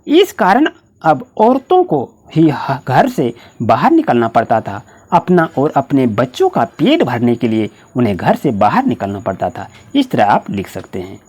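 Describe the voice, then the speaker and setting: A woman's voice: fairly low pitch, darkish tone, husky. A woman with an Indian accent teaching school students, dictating exam answers point by point in an instructional way.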